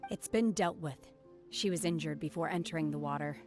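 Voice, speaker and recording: Woman acting a low-voiced, stoic young warrior woman. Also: a little fast, a little mellow, close to an everyday voice. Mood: understated, modest. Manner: cool, understated, matter-of-fact. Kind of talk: video game voice acting. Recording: music behind the voice.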